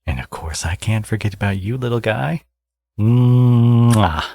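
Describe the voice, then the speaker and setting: A man acts out a smug supervillain who dotes on his baby, in an intimate ASMR roleplay. His voice is very low and gravelly, murmured slowly and close in a dry space. He is cocky and playfully teasing with his lover. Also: mellow, dry recording. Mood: doting, tender. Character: smug, teasing male supervillain, doting new father.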